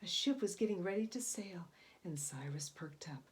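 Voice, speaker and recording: A woman storyteller addressing young children, introducing and reading a picture book in an expressive, explanatory way. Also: on the low side, moderate pace. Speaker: children's read-aloud storyteller.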